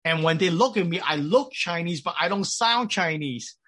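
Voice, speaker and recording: A pastor delivering a sermon with an American accent, measured and pausing, with frequent restarts. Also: male voice, sits high, lively intonation, fairly bright tone, husky. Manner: measured preaching with pauses and restarts.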